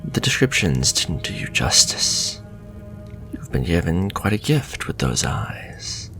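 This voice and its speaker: Man playing a deep, slow, gravelly, seductive warlock. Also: big pitch swings, soft background music, exaggerated voice. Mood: flirtatious, coaxing. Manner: soft, breathy, courteous, seductive, drifting into whispers. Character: suave, flirtatious, sinister male warlock potion seller. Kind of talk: ASMR fantasy roleplay.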